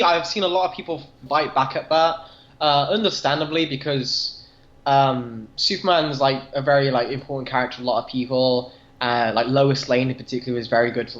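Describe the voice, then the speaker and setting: A man's voice: mid-range voice, a bit bright. A man co-hosting a casual discussion, talking in an earnest, rambling, enthusiastic way. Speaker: podcast co-host discussing comics. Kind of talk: casual chat. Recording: dull, echoey room sound.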